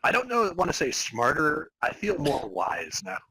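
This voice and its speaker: A man's voice: on the high side, huge pitch swings, normal speed, bright, forceful tone, husky, no echo, rough recording. A man co-hosting a podcast in casual, bantering conversation. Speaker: podcast co-host.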